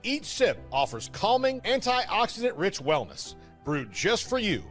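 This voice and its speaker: A man reads a soothing promotional ad with a high, lively voice that moves around a lot. Light music sits under the read. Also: a little slow. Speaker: ad announcer. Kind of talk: scripted ad read.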